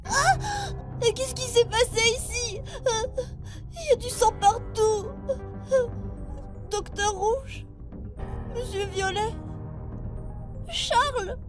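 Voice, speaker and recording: Very high-pitched, eager little boy. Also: takes it slowly, long pauses, music in the background. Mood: frightened, pleading. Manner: childlike, wide-eyed, excitable. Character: eager seven-year-old boy intern aboard a space crew. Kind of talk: French audio drama acting.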